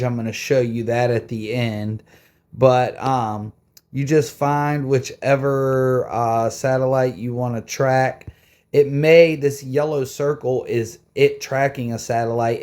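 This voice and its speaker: A man's voice: mid-range voice, darkish tone. A man giving an informal, step-by-step how-to narration as the host of a screen-recorded tutorial.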